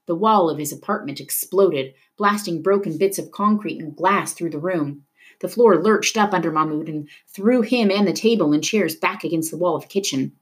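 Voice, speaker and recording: A woman reading a novel aloud in an expressive storytelling style, dramatic at tense moments. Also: on the low side, moderate pace. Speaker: reader of a children's novel. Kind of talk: expressive read-aloud narration of a book.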